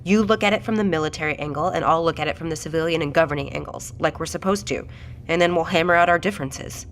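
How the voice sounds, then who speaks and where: A woman's voice: deep voice, fast, a little hiss or hum, lightly acted. A woman playing a direct, questioning doctor and project leader in an audio drama. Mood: composed, assertive.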